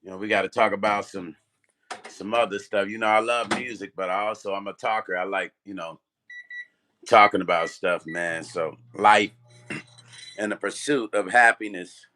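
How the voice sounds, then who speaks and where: A man with a strained, partly lost voice, chatting loosely on a livestream and greeting viewers by name, clearing his throat often. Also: on the low side, fairly even intonation, moderate pace, sharp tone. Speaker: music vlogger and producer. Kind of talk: casual livestream chat.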